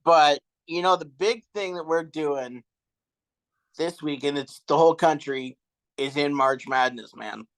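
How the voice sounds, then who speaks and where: A man's voice: fairly high pitch, unhurried. Young man, podcast co-host, casual sports chat, hesitant, filler words. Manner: relaxed, hesitant, trails off with ums.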